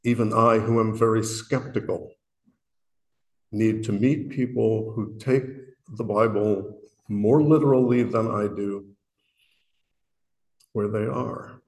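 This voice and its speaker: A man from Illinois with a booming voice, speaking earnestly and self-deprecatingly to a worship meeting, often pausing and restarting his sentences. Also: fairly even intonation, a little mellow. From Illinois, USA. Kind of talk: reflective spoken message.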